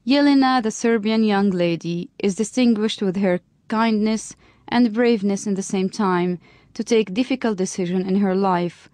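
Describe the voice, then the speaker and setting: A woman's voice: medium pitch, normal speed, darkish tone, no room sound. A woman host giving a formal introduction and narration in slightly stilted phrasing.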